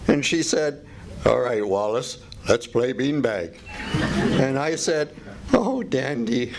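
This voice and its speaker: A man's voice: mid-range voice, animated, takes it slowly, creaky. A man playing a meek, henpecked husband in live old-time radio comedy, hesitant, prim and politely timid. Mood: wistful, rueful. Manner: hesitant, prim, repeats himself. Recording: noisy live stage recording.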